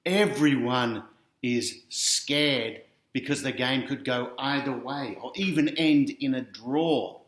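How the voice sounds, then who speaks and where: An Australian man reads a picture book to children in an echoey room. His pitch is average, and he keeps stepping out of the story with casual, chatty asides aimed straight at the listener. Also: takes it slowly, fairly bright tone. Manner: chatty, directly addressing young listeners. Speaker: author reading his picture book aloud.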